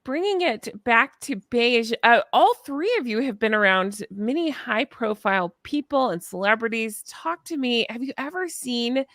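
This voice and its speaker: Fast-talking high-voiced woman host. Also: expressive, fairly bright tone, no room sound, studio quality. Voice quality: high-pitched voice. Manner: fast-talking, laughing often. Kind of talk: casual interview.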